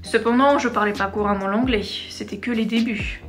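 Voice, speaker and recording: Vlogueuse d'une vingtaine d'années, originaire de Crimée, langue maternelle tatar de Crimée, voix assez aiguë, ton vif et joueur. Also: female voice, on the high side, fairly even intonation, fairly quick, faint music behind. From Crimea, Ukraine. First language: Crimean Tatar. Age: 20s. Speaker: vlogger. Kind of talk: personal storytelling to camera.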